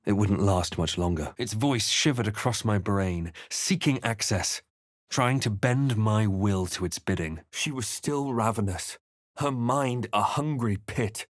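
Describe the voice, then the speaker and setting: A man playing a grave, watchful adventurer hero in a fantasy video game, speaking in a measured, dramatic, introspective way. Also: on the low side, no room sound, studio quality, natural voice. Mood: grave, brooding. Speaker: video game player character. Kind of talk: scripted narration and character lines for a fantasy game.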